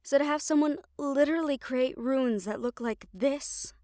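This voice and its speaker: Woman doing a stern fed-up siren spellcaster girl, highish voice, chatty audio roleplay, super dry close sound. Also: normal speed, darkish tone, dry recording, close to an everyday voice. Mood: exasperated. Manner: conversational, sighing, chiding, emphatic. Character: stern, exasperated young siren banshee spellcaster and scholar. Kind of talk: roleplay audio drama, one-sided conversation.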